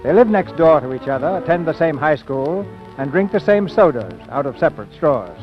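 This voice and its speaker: A male radio host narrates in a polished 1940s theatrical manner. His voice is on the high side, with some music around it and the dull sound of an old broadcast. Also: big pitch swings, a little fast. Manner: polished theatrical presenter delivery.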